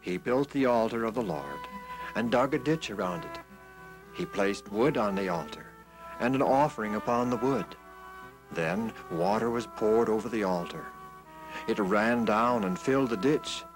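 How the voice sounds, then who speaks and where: Expressive male children's storyteller. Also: fairly low pitch, normal speed, over music, low-quality recording. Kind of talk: scripted children's Bible story narration.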